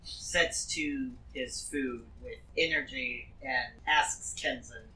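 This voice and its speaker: A man's voice: mid-range voice, slow, pushed and bright, reverberant. A man talking casually as he plays a tabletop roleplaying game. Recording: distant mic with faint noise.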